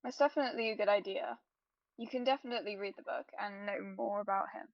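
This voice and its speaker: A woman's voice: mid-range voice, fairly even intonation, fast, creaky, no echo. A woman playing a tabletop role-playing game, talking things through casually in character with the other players. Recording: dull lo-fi dry voice recording.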